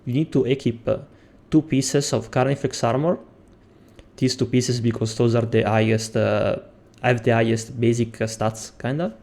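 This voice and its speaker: Young Italian man, non-native English, warm low-key voice, walks through a game tutorial with frequent pauses. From Italy. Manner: explains step by step with frequent hesitations. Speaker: game guide creator. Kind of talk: gameplay tutorial.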